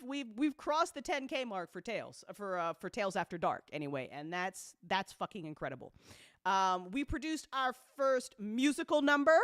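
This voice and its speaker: Female host, chatty and effusive, mid-range pitch, swears casually, very dry recording. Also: fairly bright tone, no echo. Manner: effusive, swears freely, repeats phrases for emphasis. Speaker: host, audio drama creator. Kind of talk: casual, chatty recap talk to a community.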